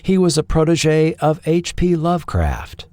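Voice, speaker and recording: A man hosting and narrating a scripted documentary feature in a measured, articulate way. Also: on the high side, big pitch swings, warm tone, no room sound, studio quality. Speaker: podcast host and narrator.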